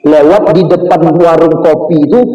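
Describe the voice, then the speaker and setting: A man's voice: fairly high pitch, fairly even intonation, normal speed, warm tone, dull audio. A man preaching an Islamic sermon, emphatic and often putting questions directly to his listeners. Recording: roomy echo, distant from mic.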